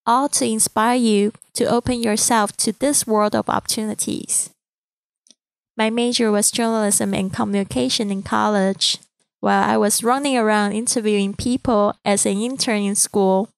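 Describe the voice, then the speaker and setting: A woman in her thirties from Taiwan with a Mandarin accent, a podcast host reading a calm, earnest, inspirational script. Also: medium pitch, no echo. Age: 30s.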